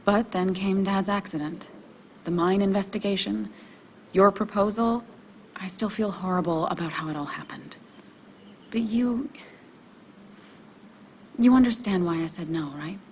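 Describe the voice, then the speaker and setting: An actress playing an emotionally raw but determined young woman in a video game, speaking in a halting, confessional way. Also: medium pitch, a little mellow, slight background noise, natural voice. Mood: wistful, hurt. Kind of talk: naturalistic video game acting.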